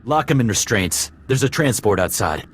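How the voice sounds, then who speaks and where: A man playing a matter-of-fact soldier with a husky voice in a video game cutscene. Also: on the low side, expressive, normal speed. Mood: businesslike. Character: matter-of-fact male soldier giving orders.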